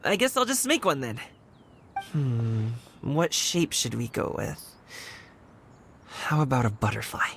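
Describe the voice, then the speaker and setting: An actress voicing a gentle, thoughtful woman who speaks softly and kindly. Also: rumbling low, very animated, a little slow, long pauses, a little hiss or hum, heavily acted.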